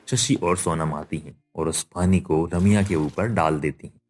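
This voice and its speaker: Deep-voiced male story narrator. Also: darkish tone. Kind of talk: scripted cartoon story narration.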